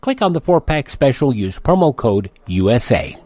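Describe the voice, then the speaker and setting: A man's voice: mid-range voice, sing-song, normal speed. A man reading scripted announcements and promotions as a radio show host.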